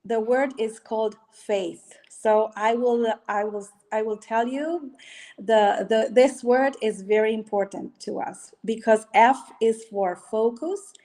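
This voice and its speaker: A woman speaks English as an in a fairly high voice. She talks hesitantly, searching for words with plenty of fillers, and laughs easily as an interview guest. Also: fairly even intonation, takes it slowly, warm tone. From Romania. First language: Romanian. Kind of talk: casual interview.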